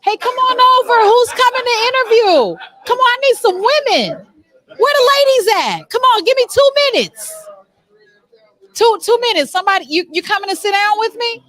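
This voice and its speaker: A woman's voice: extremely high pitch, animated, normal speed, fairly bright tone, dry recording. A woman talk show host chatting informally and playfully as she interviews people on location. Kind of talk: casual on-location interview chat. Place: on location backstage at a theater. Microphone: handheld or stand mic with headsets.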